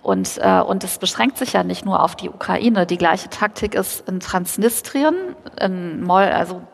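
Gravelly woman in a reverberant hall. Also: lowish voice, big pitch swings. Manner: analytical, measured, with fillers. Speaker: debate panelist. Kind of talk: live panel debate. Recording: long reverb of a large hall.

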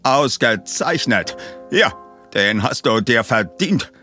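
A man's voice: mid-range voice, animated, slow, pushed and bright, faint music behind. A man reading an eccentric, commanding professor in an audiobook, with a pompous, emphatic and bossy manner. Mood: pleased, inquisitive.

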